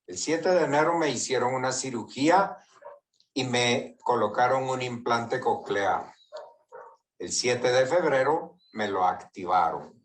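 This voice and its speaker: A middle-aged or older man with a Guatemalan accent, giving a prepared personal testimonial message. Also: medium pitch.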